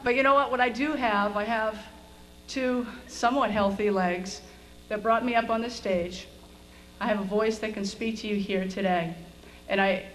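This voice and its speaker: A middle-aged American woman, a school administrator, giving an informal, conversational commencement speech with a self-deprecating streak. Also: medium pitch. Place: on stage at high school graduation. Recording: noisy event video with room ambience.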